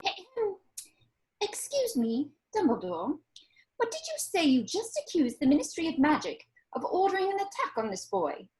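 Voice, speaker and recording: Falsely sweet toad-like lady official played by a woman, girlishly high, wildly swooping, mock-polite, table-read drama. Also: wildly swooping pitch, normal speed, darkish tone, low-quality recording. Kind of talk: amateur online table read of a screenplay.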